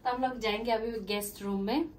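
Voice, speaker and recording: A woman's voice: a little high, fairly even intonation, fairly quick. A woman giving a casual, chatty home-tour vlog indoors, mixing in English words and phrases. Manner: informal Hindi mixed with English words and phrases. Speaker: vlogger giving a house tour. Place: indoors in small rooms at home. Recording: distant mic with faint room noise.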